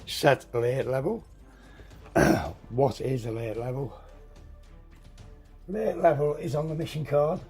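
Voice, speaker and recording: A hoarse man getting over a cold walks through a tabletop game setup, halting, correcting himself and clearing his throat. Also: mid-range voice, mellow, faint music behind. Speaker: tabletop game tutorial host. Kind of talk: casual step-by-step game setup walkthrough.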